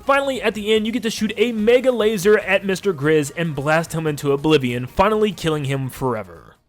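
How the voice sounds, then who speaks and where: A man's voice: sits high, fairly quick, faint music behind. A man hosting a video game channel, narrating a video essay in an upbeat, gushing and casual way.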